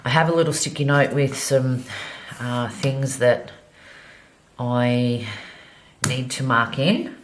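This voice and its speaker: A woman's voice: a bass voice, fairly even intonation, slow, pauses often, slight background noise. A woman vlogger chatting casually as she decorates her planner, slightly nasal from a cold, with many ums. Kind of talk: casual plan-with-me narration while decorating.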